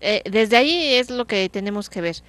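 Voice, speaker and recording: A woman's voice: medium pitch, expressive, normal speed, fairly bright tone, no echo. A woman in her thirties hosting a small studio TV interview on a handheld mic, chatty, often repeating and restarting her phrases. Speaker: TV show host. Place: small TV studio set, indoors.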